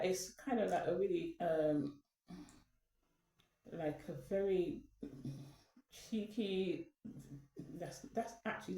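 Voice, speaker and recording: A woman's voice: deep voice, dark tone, distant mic. A woman talking casually to her viewers, rambling with many fillers and restarts. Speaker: channel host. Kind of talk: casual spoken talk. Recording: echoey room, away from the mic.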